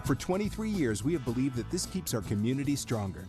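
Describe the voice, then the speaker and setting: A man's voice: mid-range voice, big pitch swings, fast, music in the background. Earnest male commercial announcer. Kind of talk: local store commercial read.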